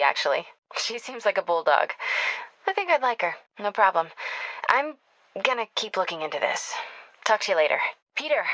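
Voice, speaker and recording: Young American investigative reporter, video game: mid-pitched, crisp bright edge, sharp and determined, wry natural phone delivery. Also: female voice, dry recording, rough recording. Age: young adult. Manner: wry, brisk, conversational.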